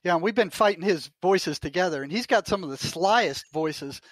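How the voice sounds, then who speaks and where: A man's voice: high-pitched, animated, moderate pace, no room sound, poor audio. An older man, a retired psychotherapist hosting a podcast, making emphatic, insistent statements in casual chat.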